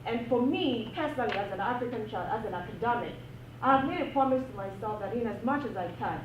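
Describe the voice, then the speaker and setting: A woman's voice: on the high side, expressive, fast, background noise. Impassioned woman, distant and echoey. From Ghana. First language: not English. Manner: earnest, impassioned, repeats phrases for emphasis. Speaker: panel speaker, PhD academic. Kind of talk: panel discussion remarks. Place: university event room. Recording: echoey room, distant mic, dull sound.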